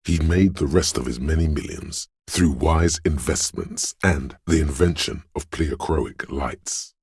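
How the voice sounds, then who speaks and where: An extremely deep, gravel-edged scholarly voice from inside the head, narrates for a game. It is very husky and recorded dry and close. The delivery is measured and erudite, grave with a dry sardonic edge. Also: male voice, darkish tone, dry recording, full character voice. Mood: learned, expository. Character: scholarly inner encyclopedia voice, grave, dryly musing trivia narrator.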